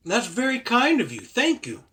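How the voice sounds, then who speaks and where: Man playing a wry, animated adventure hero. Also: extremely high pitch, normal speed, breathy edge, exaggerated voice. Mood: embarrassed politeness. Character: plucky, wry gentleman hero on the run. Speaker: actor. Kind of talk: audio play acting. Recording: noisy amateur home recording, clicks.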